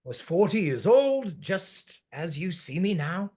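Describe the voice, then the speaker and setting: A man's voice: high-pitched, sing-song, takes it slowly, dark tone, full character voice. A man playing a world-weary forty-year-old from another century, formal and fatalistic, in a dramatic table read. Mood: wry. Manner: formal old-fashioned phrasing, theatrical, fatalistic.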